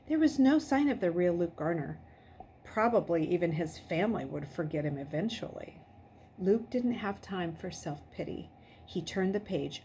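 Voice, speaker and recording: Woman reading aloud with lively intonation. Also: on the low side, slight background noise. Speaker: audiobook reader. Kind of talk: expressive read-aloud narration of a novel.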